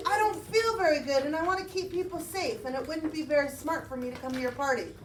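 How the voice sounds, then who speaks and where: A woman puts on a sickly, defiant puppet queen with a high, whiny voice full of excuses. It sounds roomy and a little distant. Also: fast talker, close to an everyday voice. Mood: feeble. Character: sick, defiant queen, weary of her husband. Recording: roomy, distant mic, faint noise.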